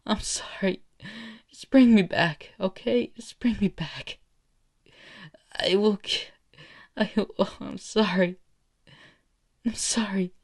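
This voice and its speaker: A man's voice: mid-range voice, big pitch swings, slow, vocal fry, long pauses, a little mellow, slightly rough, no echo, studio quality, heavily acted. A man voicing an anguished teenage boy who pleads desperately in a fan-made anime drama. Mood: crying, begging. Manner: pleading, screaming, repeating words.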